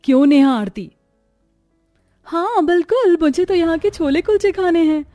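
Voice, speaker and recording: A woman's voice: very high-pitched, expressive, moderate pace, mellow, dry recording, faint music behind. A woman voicing spoiled, snobbish young married daughters in a cartoon story, with an entitled, demanding manner. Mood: gleeful. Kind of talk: acted Hindi cartoon story dialogue.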